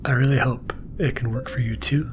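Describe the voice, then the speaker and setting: A man hosting a bedtime podcast, narrating gently in a soft, half-whispered voice for relaxation. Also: steady tone, fairly quick, noisy background. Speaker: podcast host. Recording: music playing behind the voice. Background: mellow music and low-key sound design.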